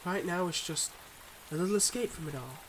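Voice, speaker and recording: Man acting a higher-voiced, smooth mob boss boyfriend. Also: a little fast, slight background noise, natural voice, poor audio. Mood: earnest, guarded. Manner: smooth, confiding, teasing, speaking to a listener. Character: suave, secretive young male mob boss, devoted online boyfriend. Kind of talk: intimate ASMR boyfriend roleplay.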